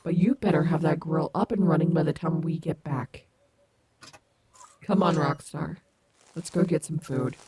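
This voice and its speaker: A woman's voice: sits low, moderate pace, long pauses, dark tone, a little hiss or hum, natural voice. A woman playing a cocky, protective female animatronic wolf in an audio roleplay, confident, sassy and doting on the listener. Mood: firm, fond. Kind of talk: fan audio roleplay acting, addressing the listener.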